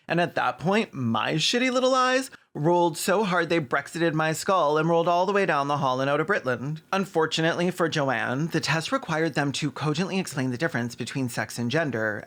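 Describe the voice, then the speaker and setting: A man's voice: medium pitch, fairly bright tone. A man with a Canadian accent delivering scripted comedic commentary in a sardonic, theatrical way. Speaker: video essay host.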